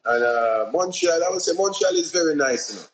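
A Jamaican man talking about his music in a relaxed interview, unhurried and repetitive, often adding "you know what I say". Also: a little high, slight background noise, poor audio. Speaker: independent musician guest. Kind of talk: casual interview answers.

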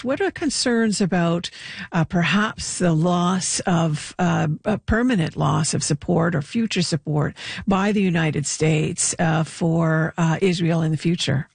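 A talk show host putting questions to a caller and a guest, hesitant and full of fillers. The voice is gravelly and creaky, recorded dry and close. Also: medium pitch, measured pace, no room sound.

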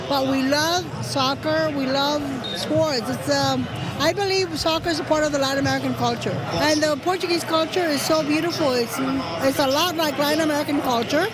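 A woman from Guatemala, a fan interviewed in the street, speaking warmly and enthusiastically. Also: high-pitched, moderate pace, hardly any pauses. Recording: street recording in a loud crowd.

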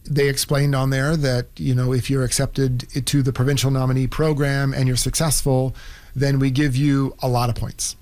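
A man's voice: medium pitch, flat delivery, moderate pace, a little mellow. A middle-aged man telling his own story conversationally, acting out little quoted asides as he goes. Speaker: interviewee, immigrant doctor.

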